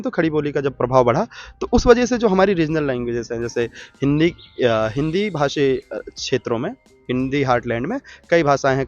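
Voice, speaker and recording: A man's voice: medium pitch, no echo. Thoughtful man in a dry, muffled take. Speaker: interviewee. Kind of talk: street interview answer.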